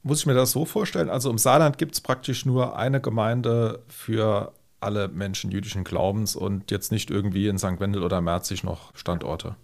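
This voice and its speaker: A man's voice: lowish voice, fairly even intonation, normal speed, gravelly, few pauses. A man interviewing a guest on a podcast, asking polite, conversational questions.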